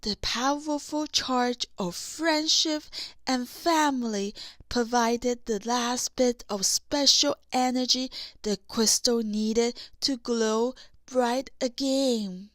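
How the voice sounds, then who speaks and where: A woman's voice: fairly high pitch, takes it slowly, a bit bright, no room sound, clean studio sound. A woman voicing a kindly, wise, motherly troll in a children's storybook. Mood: proud, wise.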